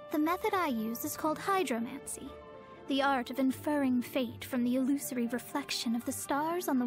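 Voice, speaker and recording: A proud young fortune teller from a video game, with a high-pitched feminine voice. She speaks formally and theatrically, with a self-important, haughty air. Music plays behind her. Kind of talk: scripted video game character voice lines.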